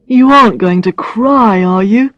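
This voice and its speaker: A woman reading the part of a kindly, tired young man who is her boss, speaking in a gentle, matter-of-fact way. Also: a little high, a little slow, no room sound, muffled recording, pitched above the performer's own voice, lightly acted.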